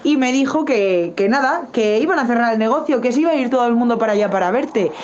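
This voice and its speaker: A chatty, encouraging friend played by a woman leaving a casual message. Her voice is fairly high, and the recording is dull with some noise. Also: animated, quick pace, a little mellow, lightly acted. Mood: cheering on.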